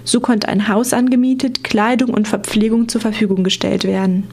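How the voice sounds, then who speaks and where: A woman's voice: medium pitch, steady tone, moderate pace, a little mellow. A woman reporter reading scripted documentary narration for a radio feature.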